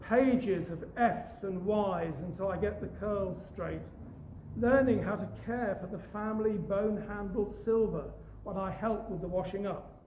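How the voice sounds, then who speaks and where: A man in his sixties with a posh English accent, reading his poetry outdoors in an animated, storytelling way. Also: high voice, unhurried, reverberant, a little hiss or hum, low-quality recording. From East Africa. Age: 60s. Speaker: poet. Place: outdoors outside a cafe.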